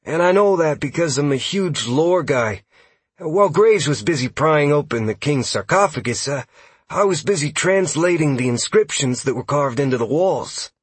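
A man's voice: fairly high pitch, animated, moderate pace, breathy edge, dry recording, pitched above the performer's own voice. A man voicing a nervous, eager male elf scout with a hesitant, apologetic manner, as in an audiobook. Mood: eager, explanatory. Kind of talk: audiobook character dialogue.

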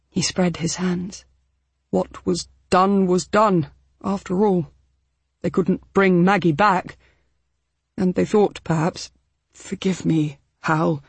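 Woman reader voicing a remorseful grown man, slow heavy delivery, breathy, dry audiobook sound. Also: on the low side, no room sound, heavily acted. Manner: heavy, apologetic.

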